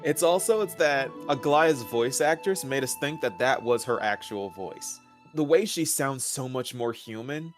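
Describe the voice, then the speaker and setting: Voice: medium pitch, lively intonation, a little slow, soft background music. A guest commentator in a casual fan chat, appreciative and giggly.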